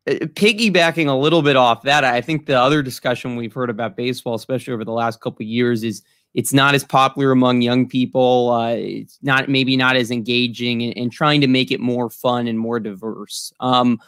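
Young male host, mid-range voice, dry room, conversational and slightly halting questions on a video call. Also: medium pitch, fairly even intonation, a bit bright, smooth, no room sound. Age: young adult. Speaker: interview host. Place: small room at home, indoors.

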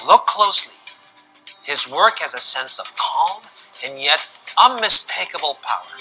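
Korean-born male host, middle-aged, animated scripted delivery with hand gestures, music bed underneath. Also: on the high side, measured pace, slightly rough. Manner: lively, emphatic delivery with sweeping hand gestures. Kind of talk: scripted presenting to camera. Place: large indoor museum gallery.

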